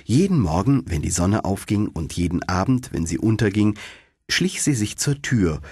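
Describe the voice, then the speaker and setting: A man reads a fairy tale with lively, swooping intonation. His voice is fairly low and husky, recorded close and dry. He tells it expressively, as an audiobook narrator. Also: normal speed, no echo. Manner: expressive storytelling.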